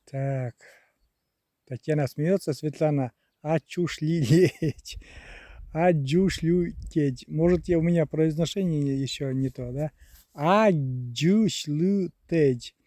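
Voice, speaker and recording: A man's voice: fairly high pitch, takes it slowly, mellow, no room sound. A man on a casual live stream outdoors in the countryside, reading chat aloud and spelling out words letter by letter. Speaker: live streamer from a rural village. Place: outdoors in the countryside near a river, on the move.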